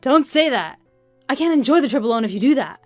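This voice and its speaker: A woman's voice: high voice, expressive, fast talker, no room sound, soft background music, voice pushed up. A woman voicing a flustered, evasive adult husband with a hesitant, pleading manner in a narrated story. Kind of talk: acted dialogue in a narrated story.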